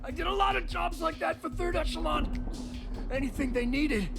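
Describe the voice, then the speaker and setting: A man's voice: very high voice, moderate pace, vocal fry, over music. An actor playing a frightened criminal fixer in a video game, defensive and pleading as he confesses. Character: cornered, pleading male criminal fixer under interrogation. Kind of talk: video game cutscene acting.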